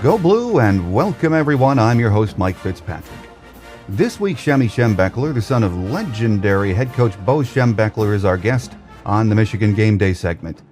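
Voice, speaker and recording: A man hosting a sports podcast, delivering a scripted commentary monologue in a measured, orderly way. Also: fairly low pitch, sing-song, darkish tone, soft background music. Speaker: podcast host.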